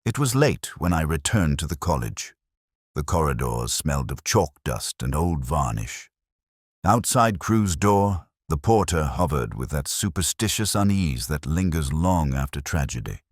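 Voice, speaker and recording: A man narrating a scripted first-person detective mystery in a measured, solemn, literary manner. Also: extremely low pitch, big pitch swings, slightly rough, no room sound, studio quality.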